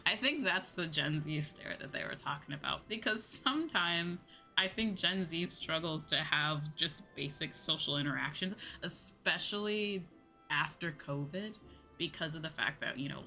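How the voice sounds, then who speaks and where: A woman's voice: sits low, lively intonation, normal speed, gravelly, bright, forceful tone, faint music behind. A woman streamer talking casually and conversationally in a livestream chat. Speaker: VTuber streamer.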